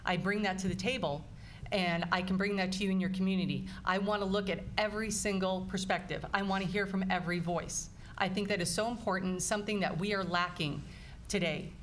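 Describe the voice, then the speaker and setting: A woman's voice: medium pitch, fairly even intonation, fairly quick, a little hiss or hum. A woman in her forties giving an earnest, upbeat candidate forum speech into a table mic in a meeting room. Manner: earnest, upbeat, emphatic lists. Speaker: political candidate. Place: meeting room with small audience.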